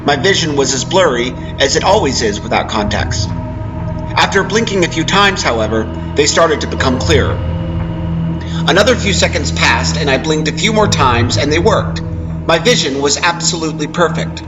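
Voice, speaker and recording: Male story narrator, slightly high voice, dramatic first-person reading, music clearly behind. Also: lively intonation, moderate pace, poor audio. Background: background music.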